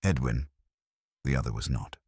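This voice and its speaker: A man voicing a reserved female surgery receptionist in a detective story, quiet, professional and exact in her speech. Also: extremely low pitch, normal speed, breathy edge, no echo, studio quality, voice pushed down. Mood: composed.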